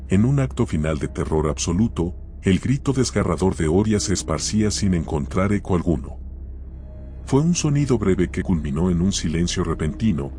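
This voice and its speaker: A man with a very low voice narrates a scripted horror tale in the role of storyteller. Music plays clearly behind his narration. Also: darkish tone. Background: background music.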